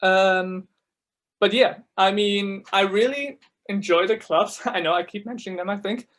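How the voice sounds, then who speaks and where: A man's voice: sits high, a bit bright. A young man from Switzerland whose first language is German, giving a casual, filler-heavy talk as a student ambassador over a video call. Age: young adult. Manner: chatty, many fillers, quick laughs. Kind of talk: casual presentation to new students.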